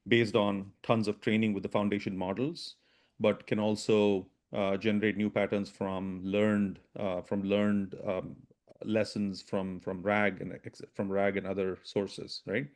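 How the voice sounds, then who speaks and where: A man presenting a business webinar, talking quickly with frequent restarts and "right?" tags. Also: on the low side, flat delivery. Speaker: webinar presenter, product strategy VP.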